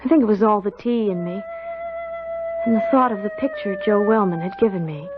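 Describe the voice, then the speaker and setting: A woman's voice: mid-range voice, a little fast, natural voice. An actress playing a timid, curious young country girl in a 1970s radio drama, earnest, hesitant and polite. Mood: wistful recounting. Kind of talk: 1970s radio drama acting, first-person narration and dialogue. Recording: dull radio recording with music.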